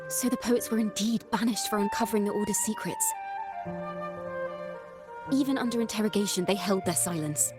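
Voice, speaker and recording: A woman playing a thoughtful, earnest young traveling companion in a video game, speaking in a lyrical, measured way. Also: medium pitch, over loud music, natural voice. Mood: serious, reflective. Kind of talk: scripted video game dialogue.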